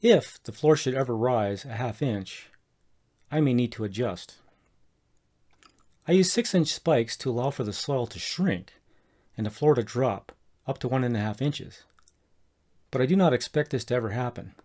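Middle-aged man, mid pitch, measured scripted narration for a home-improvement tutorial. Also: animated, darkish tone. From Colorado, USA. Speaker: homeowner explaining a DIY project.

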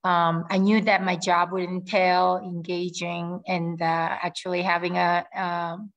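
A woman's voice: lowish voice, flat delivery, measured pace, highs cut off. A middle-aged woman, a native Korean speaker, speaking earnestly on a video call from home, with frequent ums and restarts. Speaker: guest air force officer. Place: home room with ceiling fan. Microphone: laptop webcam on video call.

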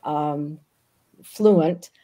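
An older woman whose first language was Yiddish, speaking as a guest author on a video call from a book-lined room, pausing often with ums. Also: low-pitched, mellow. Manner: thoughtful, frequent ums. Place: home room with bookshelves.

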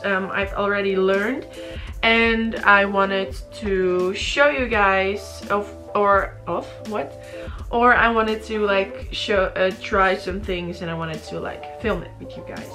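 Young woman, bright tone, sleepy raspy voice, rambling casual vlog chat, faint background music. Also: mid-range voice. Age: young adult. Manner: chatty, rambling, many fillers and restarts. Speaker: beauty vlogger. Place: small bedroom indoors. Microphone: camera in front at close range.

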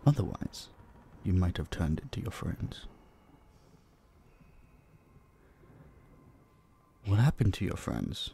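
A man playing an obsessive, possessive vampire captor in an intimate audio drama, hushed, teasing and dominant, menacingly sweet, dipping into whispers. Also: low voice, big pitch swings, moderate pace, vocal fry, a little hiss or hum, full character voice. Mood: smug menace. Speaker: voice actor. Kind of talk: intimate roleplay audio drama, addressing the listener.

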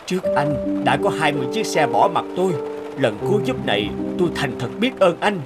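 An anxious stranded traveller in a cartoon, a big fellow with a highish, very animated voice. He sounds plaintive, hesitant and thankful, over loud music. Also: fairly quick. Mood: relieved, grateful. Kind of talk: cartoon voice acting.

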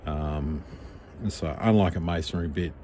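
A middle-aged Australian man, a knife maker, explaining his work step by step in a relaxed, practical way while he works at the bench. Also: rumbling low, a little slow, a little hiss or hum. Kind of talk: workshop tutorial voice-over. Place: home workshop.

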